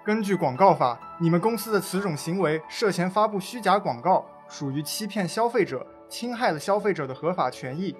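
A man plays an earnest, firm legal-awareness campaigner in a radio drama. His voice is a little high, and he lays out his points methodically over background music. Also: animated, brisk, few pauses. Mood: firm, accusing. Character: earnest male legal-awareness volunteer exposing a scam. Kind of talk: amateur legal-education radio play.

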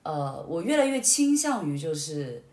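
A young woman chatting casually and telling personal stories in an animated way, in short phrases. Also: low voice, a little slow. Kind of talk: casual conversational storytelling. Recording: roomy, echoey sound.

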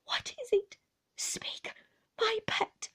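A woman's voice: slow pace, pushed and bright, in a whisper. A woman voicing a kindly, flustered nursemaid in a storybook, breathless, hesitant and soothing. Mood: fond, alarmed. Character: kindly, flustered, fond nursemaid breaking awkward news.

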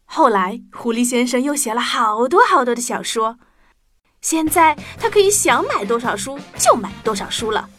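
A woman tells a children's story in a fairly high, swooping voice. Her narration is expressive and aimed at young listeners, with music softly behind her. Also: normal speed.

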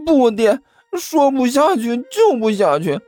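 A cheeky, cornered warrior of justice in a comic audio story. The whiny voice is very high and stutters through defiant retorts. It draws words out slowly with lively swings in pitch. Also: male voice, no echo, faint music behind, heavily acted. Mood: smug, defiant.

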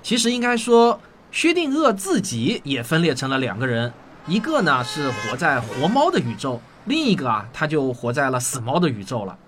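An actor playing a kindly middle-aged father in a Chinese radio drama, explaining in drawn-out phrases. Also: mid-range voice, sing-song, a bit bright, a little hiss or hum. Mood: patient, explaining.

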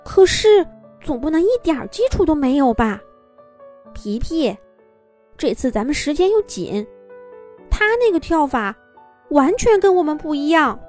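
A woman's voice: sits high, pitched above the performer's own voice. A woman voicing a bossy young boy cat who leads the dance team in a children's story, talking in a fussy, doubtful way. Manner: fussy, doubtful, quick to fret.

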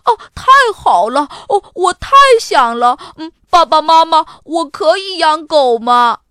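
A woman voicing an excitable, anxious little boy in a children's storybook, exclaiming emphatically. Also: sits high, measured pace, no room sound, studio quality, voice pushed up. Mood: overjoyed. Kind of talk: children's storybook character acting.

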